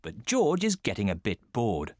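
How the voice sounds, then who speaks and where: A man giving calm, scripted storybook narration for a children's cartoon. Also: lowish voice, normal speed, very noisy. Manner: calm, plain storyteller delivery.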